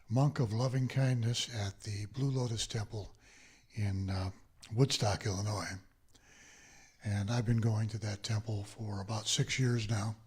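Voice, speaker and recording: A man gives an informal introduction of a guest at a workshop. He speaks slowly and haltingly, pausing on fillers. His voice is low and husky. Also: a little mellow. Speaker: introducer, temple member.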